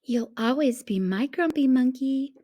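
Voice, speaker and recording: A woman's voice: sits high, expressive, unhurried, dark tone, voice pushed up. A woman voicing a doting, teasing mother ape in a children's storybook, affectionate and sing-song. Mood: fond. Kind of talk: children's storybook read-aloud.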